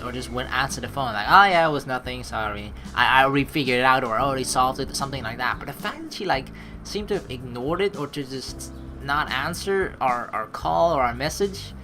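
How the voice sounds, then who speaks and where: Let's play host, lively pitch, rambling asides, reading game text aloud, game music underneath. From United States. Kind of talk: reading a visual novel aloud with running commentary.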